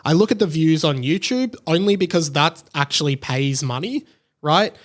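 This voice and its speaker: A man's voice: on the high side, expressive, normal speed. A man hosting a casual solo podcast, talking in a rambling, conversational way and often checking in with "right?". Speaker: podcast host.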